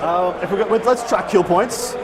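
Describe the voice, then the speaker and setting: A man's voice: high-pitched, normal speed, a loud crowd. A man playing a tabletop game at a convention, counting dice and rules aloud in casual table talk. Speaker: tournament player. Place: busy convention hall. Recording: loud crowded hall, noisy.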